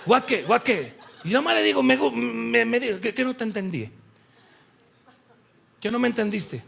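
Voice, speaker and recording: A middle-aged or older man with a Mexican accent preaching an animated, conversational sermon, emphatic and playful as he acts out bits of dialogue. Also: very high voice, normal speed, vocal fry, slight background noise. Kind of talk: animated sermon with anecdotes and Bible quotations.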